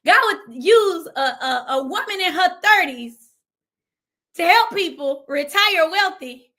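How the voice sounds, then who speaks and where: A woman's voice: extremely high pitch, expressive, slow, bright, forceful tone. A woman, talking emphatically on a livestream in a preachy way and reading viewer comments aloud. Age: 30s. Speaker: financial strategist and livestream host. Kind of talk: live stream motivational talk.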